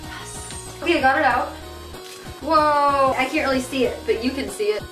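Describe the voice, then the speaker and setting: A young woman vlogging casually, rambling and bubbly, a bit sick with a slightly deep voice, recorded by a camera across a small bedroom. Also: normal speed, over music. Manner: bubbly, rambling, lots of "like" and "really". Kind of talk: casual haul video. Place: small bedroom with bare walls. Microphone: camera mic from across the room. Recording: roomy echo, voice a bit far from mic.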